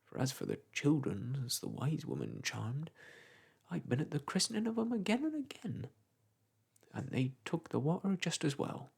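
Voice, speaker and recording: A man's voice: fairly high pitch, wildly swooping pitch, normal speed, gravelly, a little mellow, slightly rough, voice pushed up, heavily acted. A man doing a rambling old village clerk. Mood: rambling advice. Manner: rambling, folksy, lecturing. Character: garrulous old male village parish clerk.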